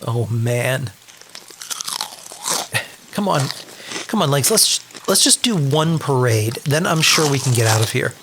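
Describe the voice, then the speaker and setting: A man's voice: medium pitch, lively intonation, unhurried, pauses often, background noise. A man playing a desperate, foul-mouthed wasteland survivor in an audio drama, anguished and exasperated. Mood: weary exasperation. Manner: anguished, exasperated, muttering to himself.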